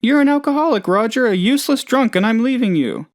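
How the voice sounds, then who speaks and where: A man's voice: very high voice, expressive, quick pace, dry recording, studio quality. A man playing a hapless, trusting, lonely oddball in a video game, talking in a rambling, hesitant, good-natured way. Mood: mocking quoted scolding. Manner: rambling, hesitant, naive, chatty. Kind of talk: video game character dialogue.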